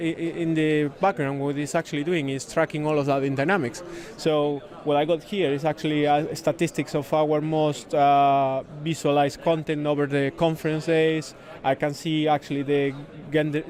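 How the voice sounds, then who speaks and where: Man, Spanish accent, native Spanish speaker, slightly high pitch, flat intonation, halting casual product explanation. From Spain. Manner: hesitant, halting delivery with repeated words. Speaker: software consultant demonstrating a product, interviewee. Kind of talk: casual product demo and interview. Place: busy conference venue.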